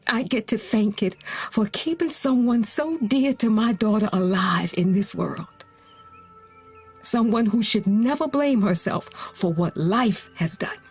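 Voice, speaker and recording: An actress playing a gentle, grieving middle-aged mother who offers consolation in a naturalistic audio drama. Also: mid-range voice, animated, husky, soft background music. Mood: earnest, consoling. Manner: slow, gentle, earnest delivery with pauses. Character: grieving, consoling mother who lost her teenage daughter.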